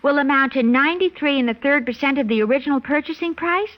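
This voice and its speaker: An actress playing a brisk, self-satisfied American wife quizzing her husband in a mid-century radio advertisement. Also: sits high, close to an everyday voice. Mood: showing off. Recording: dull old radio recording.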